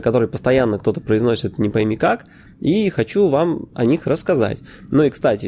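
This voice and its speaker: A man hosting a casual podcast, his mellow, warm voice sitting at a middle pitch. He talks off the cuff, with filler sounds and quick self-corrections. He drops wry, opinionated asides along the way. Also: a little hiss or hum. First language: Russian. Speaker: podcast host.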